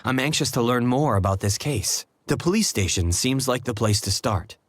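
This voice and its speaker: An actor playing a composed, eager detective in a mystery game. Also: male voice, mid-range voice, lively intonation, normal speed, background noise, lightly acted. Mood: brisk, businesslike. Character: composed, eager male detective rousing his partner. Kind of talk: video game voice acting.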